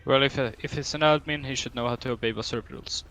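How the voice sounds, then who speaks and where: A man's voice: medium pitch, fairly even intonation, fast talker, a bit bright, faint music behind, poor audio. A young man with a Swedish accent running a roleplay police training, stern and drill-like with dry humor. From Sweden. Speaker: training officer.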